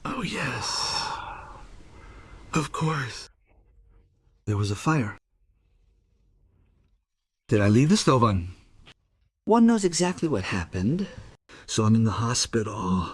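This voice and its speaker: Confused old man in radio play, slow n husky, pitch wanders a lot, halting. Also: big pitch swings, no room sound, full character voice. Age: older. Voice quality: husky, breathy. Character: confused, frail old widower in hospital, gentle. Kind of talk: radio drama acting.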